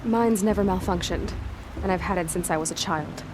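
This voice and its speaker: A woman's voice: fairly low pitch, brisk, noisy background, natural voice. A young woman acting a defiant, blunt outcast huntress in a video game cutscene, speaking in a pointed, self-assured way. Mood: questioning, guarded. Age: young adult.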